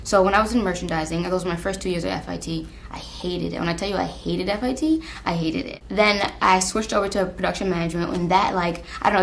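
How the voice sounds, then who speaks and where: Low-pitched young American woman, candid. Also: gravelly, a little hiss or hum. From Long Island, New York, USA. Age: 20s. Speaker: college alumna being interviewed. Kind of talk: candid documentary interview.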